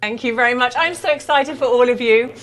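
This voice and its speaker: A woman's voice: a little high, a little fast, a bit bright. A woman presenting artwork on a live auction stream, enthusiastic and persuasive.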